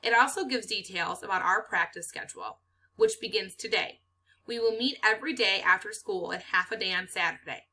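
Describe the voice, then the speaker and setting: Woman reading as brisk, bright-voiced male quiz coach. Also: mid-range voice, low-quality recording. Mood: brisk, upbeat. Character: male school teacher coaching a quiz team, brisk, organized.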